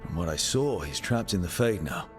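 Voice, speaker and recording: An actor playing an earnest, steady mage who leads a team in a fantasy video game, speaking in a measured, serious way. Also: male voice, deep voice, faint music behind. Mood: grave. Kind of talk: cinematic video game dialogue.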